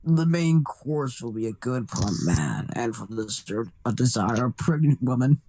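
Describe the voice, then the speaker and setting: A man voicing a monstrous, bloodthirsty bat devil with a slow, theatrical delivery in an anime fan dub. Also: medium pitch, lively intonation, mellow, a little hiss or hum. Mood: disgusted, hungry.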